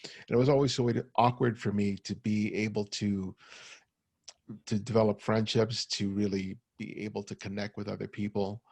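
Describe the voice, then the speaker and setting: Middle-aged guy on a video call, gravelly low voice, kinda husky, halting with a slight stutter. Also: little pitch movement, a little mellow, no room sound. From United States. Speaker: guest photographer. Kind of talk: personal talk at an online forum.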